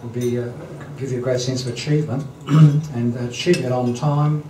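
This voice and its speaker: Male host, hesitant and halting, constant ums, roomy hall heard from afar over clinking dishes. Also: medium pitch, fairly even intonation, darkish tone, slight background noise. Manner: hesitant, many ums and throat clearing. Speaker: event host introducing a speaker. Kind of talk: halting formal introduction at a lunch. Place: dining hall at a lunch event. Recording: roomy hall, distant mic, clattering dishes.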